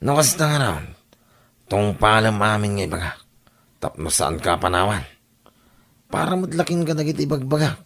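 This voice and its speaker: A man with a Filipino accent playing a calculating, smooth-talking boyfriend without a job in an audio drama. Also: fairly low pitch, very animated, normal speed, pauses often, a bit bright, full character voice. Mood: coaxing. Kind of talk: Ilocano audio drama acting.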